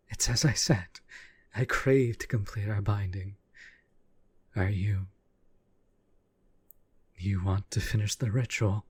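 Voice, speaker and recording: A man playing a devoted, lovestruck demon bound to a summoner, husky and breathy, speaking in formal, courtly phrases in an intimate audio roleplay. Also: lowish voice, a little mellow, full character voice. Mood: eager, pleading.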